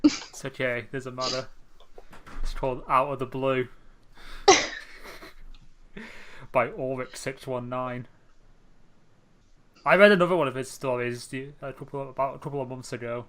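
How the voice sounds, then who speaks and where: A man's voice: mid-range voice, steady tone, normal speed, long pauses, fairly bright tone. A young man reading fan fiction aloud, casual, dropping offhand asides and laughing. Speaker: story reader, channel host.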